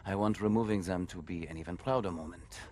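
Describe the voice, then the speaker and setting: An actor playing an earnest, protective German resistance man with a German accent in a video game cutscene, gentle in manner. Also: low-pitched, fast talker. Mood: earnest, regretful.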